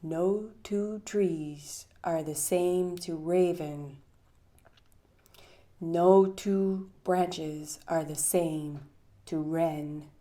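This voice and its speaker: A woman leading a guided meditation with poetry readings, speaking soothingly with long pauses and a breathy trail-off at the ends of phrases. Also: lowish voice, fairly even intonation, warm tone.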